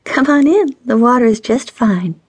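A woman's voice: fairly high pitch, animated, normal speed, a little mellow, slightly rough, no room sound, pitched above the performer's own voice. A woman narrator playing a flirty, pouting single woman with a teasing whine in an audiobook story.

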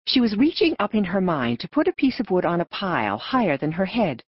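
A woman narrating an audiobook story in an expressive storytelling manner. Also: lowish voice, brisk, breathy edge, no echo, dull audio.

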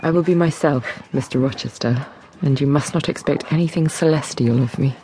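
An actress playing a proud, independent young governess, earnest and forthright, in a period radio drama. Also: female voice, sits low, fairly quick, dark tone, slight background noise, lightly acted, poor audio. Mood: firm, composed. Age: young adult.

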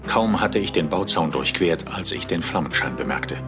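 A male detective narrating his own horror story. His voice is low and the delivery scripted and dramatic. Music runs clearly behind him. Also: lively intonation, normal speed. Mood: gripping. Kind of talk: scripted first-person audio drama narration.

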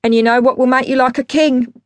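A woman's voice: sits high, fairly even intonation, a little fast, no echo, pitched above the performer's own voice, lightly acted. A woman voicing a drunk, boastful, sweary middle-aged father with big musical dreams, in a bombastic, declamatory way, in an audiobook story. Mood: boastful, pleading.

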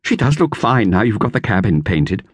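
Man doing a brisk, friendly village lady, pitched up, for a storybook. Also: big pitch swings, breathy edge, dry recording, studio quality. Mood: cheerful. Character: doctor's wife Mrs Dudgeon, cheerful and brisk. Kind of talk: audiobook character voice.

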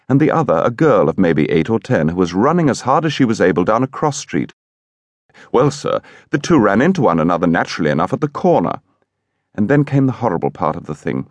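A man playing an indignant English gentleman who recounts a horrible incident in a vivid, emphatic way, as audiobook storytelling. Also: medium pitch, no room sound, pitched above the performer's own voice. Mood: agitated, vivid.

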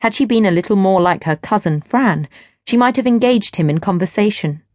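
A woman reading literary fiction as an audiobook narrator, in measured, expressive storytelling. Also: mid-range voice, dry recording. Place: dry studio.

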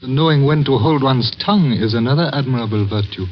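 An actor playing a courteous, guarded Chinese father in an old radio drama, speaking in formal, measured, cryptic phrases. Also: mid-range voice, close to an everyday voice. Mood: cryptic, grave. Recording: muffled old radio recording, faint noise.

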